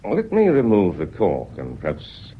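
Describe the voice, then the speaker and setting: A muffled radio drama recording of a shrewd British inspector. His low, husky voice is courteous and deliberate, soothing people as he methodically lays out his reasoning. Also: huge pitch swings, exaggerated voice. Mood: reflective, explaining. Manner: deferential, deliberate, explanatory. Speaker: actor in a radio play. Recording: dull muffled lo-fi audio.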